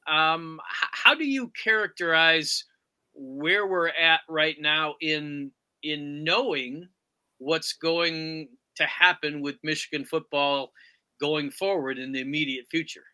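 Very bright, halting American male interviewer. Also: a little high, clear, resonant tone. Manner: halting, deliberate, frequent long hesitations. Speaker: interviewer, sports journalist. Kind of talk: conversational sports interview.

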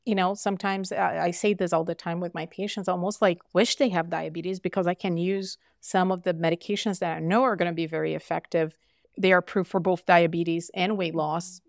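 A woman's voice: fairly low pitch, steady tone, a little fast, vocal fry, no room sound, clean studio sound. A middle-aged woman from Brazil, a physician guest speaking earnestly and emphatically in conversation, with frequent fillers. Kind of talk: conversational interview.

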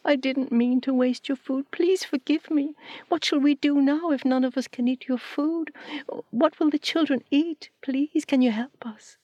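Woman putting on a desperate village lady, high pleading voice, dry close sound. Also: unhurried, warm tone, no room sound, muffled recording. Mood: begging. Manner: high, begging, breathless pleas.

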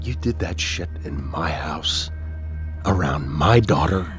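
A man's voice: extremely low pitch, lively intonation, takes it slowly, soft background music, full character voice. An actor playing an enraged, hurt man confronting his lover in an audio drama, vehement and accusing. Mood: low seething. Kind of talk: modern audio drama acting.

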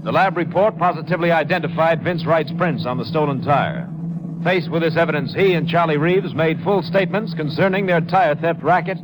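A male announcer with a fairly high voice reads scripted narration in a formal, declamatory manner. The old 1950s radio recording is clearly noisy. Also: brisk.

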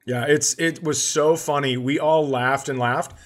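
A man's voice: a little high, fairly even intonation, unhurried. A man co-hosting a comedy podcast, telling casual anecdotes with wry humor, swearing and quoted dialogue.

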